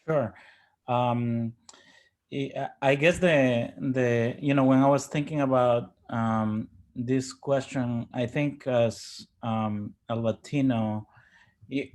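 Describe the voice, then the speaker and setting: A man who grew up in Ecuador speaks as an interview guest, with Spanish as his first language. He reflects on personal experience in a halting, thoughtful manner, often restarting his words at a middling pitch. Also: steady tone, darkish tone. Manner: halting, thoughtful, with repeated word starts.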